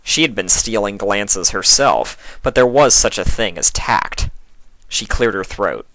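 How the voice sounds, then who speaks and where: A man's voice: fairly low pitch, expressive, measured pace, fairly bright tone, dry recording, rough recording. A man reading a scripted story aloud as its narrator. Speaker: fan fiction reader.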